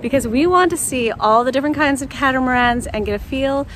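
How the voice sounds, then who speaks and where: A woman narrating her vlog in a plain, measured way. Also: high voice. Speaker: vlogger. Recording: noisy outdoor recording.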